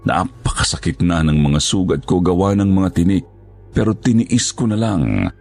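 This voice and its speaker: A man giving dramatic scripted first-person narration of a horror story in a gravelly voice. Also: low-pitched, lively intonation, normal speed, darkish tone. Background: music.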